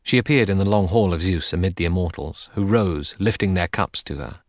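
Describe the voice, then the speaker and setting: A man's voice: low voice, brisk, no echo, studio quality. A man narrating epic verse as a dramatic literary audiobook.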